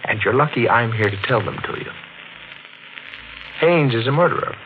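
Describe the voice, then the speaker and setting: An actor playing a smooth, sinister killer who taunts his victim with mock politeness, in 1940s radio mystery style. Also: mid-range voice, animated, natural voice. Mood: insistent, menacing. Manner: mock-polite, menacing, deliberate. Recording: noisy, narrow-band 1940s radio recording.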